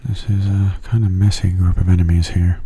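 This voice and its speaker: A man giving calm, soft-spoken ASMR game commentary in a breathy, unhurried voice that often drops to near a whisper. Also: sits low. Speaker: gaming video host.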